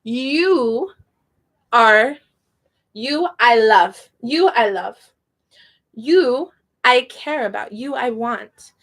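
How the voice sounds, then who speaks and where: A woman's voice: fairly high pitch, lively intonation, very slow, pauses often, fairly bright tone. A young woman teaching a casual spoken lesson, chatty and prone to tangents, laughing often. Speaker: Spanish teacher.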